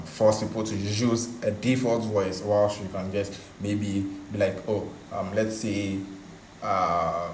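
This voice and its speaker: A man hosting a tutorial, explaining in a casual, conversational, halting way and often repeating himself. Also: fairly low pitch, darkish tone. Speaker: host of a coding tutorial channel. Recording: roomy echo, faint background noise.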